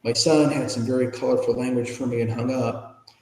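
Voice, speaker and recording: A man's voice: mid-range voice, fairly even intonation, fairly quick, darkish tone. Echoey American man in his fifties or older. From Chicago, United States. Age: 50s or older. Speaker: podcast guest, ethics trainer and speaker. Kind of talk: candid personal storytelling in an interview. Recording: roomy, echoing reverberant room.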